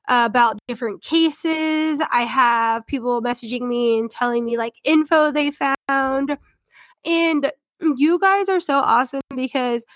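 A woman's voice: high-pitched, fairly bright tone, no room sound. A young woman with a head cold and a congested voice, hosting a casual true-crime podcast in a chatty, rambling way. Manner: chatty, rambling, filler-heavy. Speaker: podcast host.

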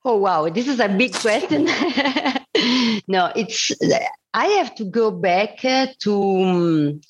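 Swiss Italian woman in her forties telling her story in careful, halting English, on a dull recording. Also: medium pitch. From Italian-speaking Switzerland. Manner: halting, repeats words while searching for English phrasing. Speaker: missionary guest. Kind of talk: personal testimony interview.

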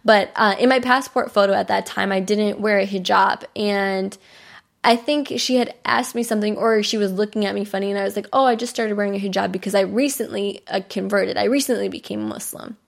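A woman's voice: medium pitch, steady tone, brisk, gravelly. A young American woman hosting a podcast, telling a personal story in a bubbly, chatty way with frequent laughs. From United States. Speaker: podcast host.

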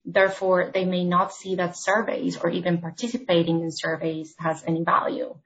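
A woman from Argentina, a consultant guest on a podcast, explaining her points in a measured, structured way. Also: lowish voice, level tone, rough recording. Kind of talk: podcast interview.

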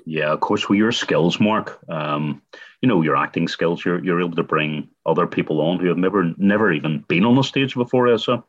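A man's voice: low-pitched, brisk, muffled recording. A man with a Northern Irish accent hosting community radio and interviewing in a conversational style. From Northern Ireland.

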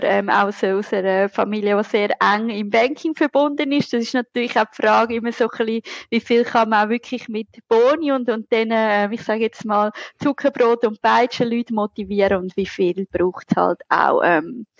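A woman's voice: mid-range voice, steady tone, normal speed, vocal fry, dry recording, muffled recording. A woman from Zurich with a Zurich Swiss German accent, answering conversationally as a podcast guest who researches and teaches. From Zurich, Switzerland.

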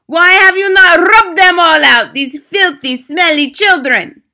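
A woman's voice: fairly high pitch, expressive, sharp tone, voice pushed up, full character voice. A woman voicing a cruel, furious grand witch from a storybook, screaming imperiously and drawing out her words. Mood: screeching fury. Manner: screaming, imperious, slow drawn-out emphatic words. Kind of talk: dramatic storybook character voice.

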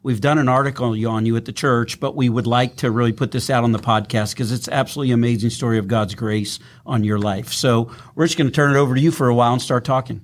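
A man, a pastor hosting a church podcast, talking informally and warmly to his guest. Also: medium pitch, steady tone, fast, few pauses. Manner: friendly, informal, loose phrasing. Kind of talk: relaxed interview chat.

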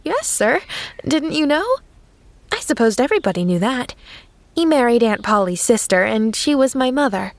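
A woman reading aloud and voicing an eager, kind, chatty young girl with a high, earnest, breathless way of speaking. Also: sing-song, moderate pace, no room sound.

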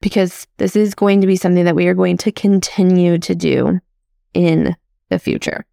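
A woman's voice: lowish voice, steady tone, moderate pace, creaky, warm tone, dry recording. Chatty woman in her thirties, dry close sound. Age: 30s. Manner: chatty and upbeat, with frequent "like" and "crazy". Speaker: podcast host. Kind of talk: personal reflective podcast monologue.

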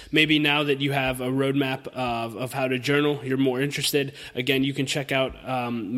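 A man's voice: medium pitch, bright, forceful tone, in a large hall. A thirty-year-old man hosting a solo podcast, speaking informally and earnestly to listeners in an encouraging, conversational lesson style. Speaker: podcast host.

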